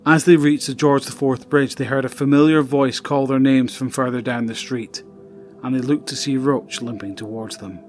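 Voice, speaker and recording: A male narrator reads a scripted audio drama in a mid-pitched voice. His delivery is dramatic storytelling, laid over prominent music. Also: steady tone, normal speed, a little mellow.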